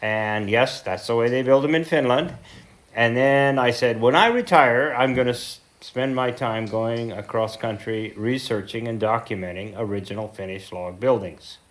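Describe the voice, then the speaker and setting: A man in his seventies with an American accent, speaking informally as a heritage lecturer, telling his story reflectively with pauses and self-corrections. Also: mid-range voice. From central Idaho, United States. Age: 70s. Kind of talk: informal talk to a local group.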